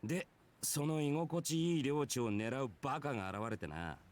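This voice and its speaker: An actor playing a brash, easygoing male warrior in a visual novel, telling his past in rough, casual, nonchalant speech. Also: medium pitch, animated, quick pace, lightly acted. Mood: casual, boastful. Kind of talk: Japanese visual novel voice acting.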